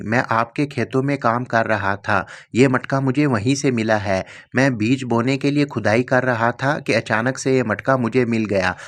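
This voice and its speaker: A man's voice: mid-range voice, fairly bright tone, close to an everyday voice. A man voicing an earnest, grateful poor farmer in a cartoon story.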